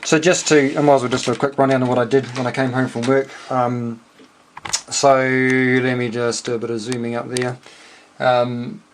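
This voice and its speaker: A man's voice: medium pitch, little pitch movement. Kiwi guy, radio hobbyist rambling thru tech stuff, bit of noise behind him, mid voice. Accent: New Zealand. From New Zealand. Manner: rambling, halting, thinking aloud. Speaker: amateur radio operator and homebrew electronics hobbyist. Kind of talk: casual unscripted technical walkthrough.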